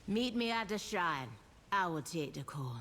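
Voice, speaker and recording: A woman's voice: on the low side, animated, a little slow, a bit bright, full character voice. A woman voicing a proud, determined young troll warrior in a video game, speaking earnestly and resolutely. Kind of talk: video game character acting.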